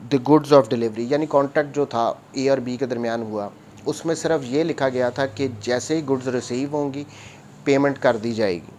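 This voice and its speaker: A man's voice: medium pitch, normal speed, a little mellow. Pakistani man lecturing, noisy recording. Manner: switches between Urdu and English legal terms. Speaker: law lecturer. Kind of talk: explanatory law lesson, reading provisions aloud then explaining. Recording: noticeable background noise.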